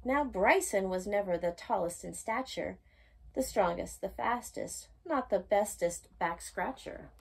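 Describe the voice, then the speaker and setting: A woman reading a rhyming children's picture book aloud as a storytime host, with expressive, singsong emphasis. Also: mid-range voice, measured pace, gravelly, breathy edge.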